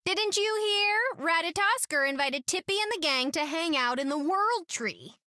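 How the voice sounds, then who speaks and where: Casting: plucky young game heroine, casual, bright, taking her time, dry sound. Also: extremely high pitch, animated, a little slow, no room sound, heavily acted. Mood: perky. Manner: perky, casual. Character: chirpy, quirky young squirrel-loving superheroine, plucky. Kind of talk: video game character dialogue.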